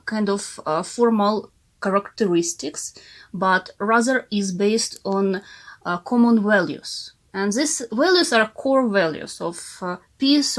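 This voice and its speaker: A young adult woman from Ukraine with a Ukrainian accent, a native Russian speaker, speaking in a remote interview with frequent "uh" fillers and restarts. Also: medium pitch. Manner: hesitant, many "uh" fillers and restarts. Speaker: guest, art historian and curator. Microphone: remote call.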